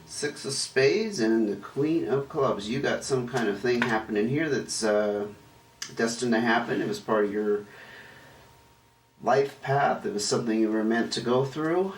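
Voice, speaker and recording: A man hosting a tarot reading in a rambling, chatty way, his voice rough and hoarse as he recovers from illness. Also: mid-range voice, unhurried. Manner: chatty, rambling, self-correcting. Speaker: tarot reader and channel host. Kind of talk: casual tarot card reading to camera. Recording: roomy echo, distant mic, faint noise.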